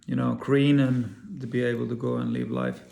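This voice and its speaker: Gravelly Finnish man, halting English. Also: medium pitch, little pitch movement, dark tone. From Finland. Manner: earnest, halting with many fillers and repeated words. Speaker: vlogger. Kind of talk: personal direct-to-camera talk.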